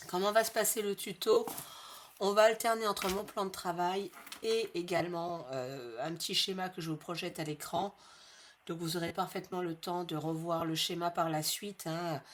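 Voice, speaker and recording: A woman with a slight head cold hosting a craft tutorial in a friendly, chatty way, repeating each measurement slowly for her viewers. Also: fairly low pitch. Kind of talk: casual step-by-step craft tutorial.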